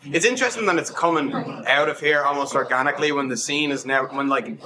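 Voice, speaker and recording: A man's voice: on the high side, quick pace. An Irish man in a band chatting casually, rambling and correcting himself. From Ireland. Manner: rambling, self-correcting, enthusiastic. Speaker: band member. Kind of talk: casual chat. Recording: crowd chatter behind.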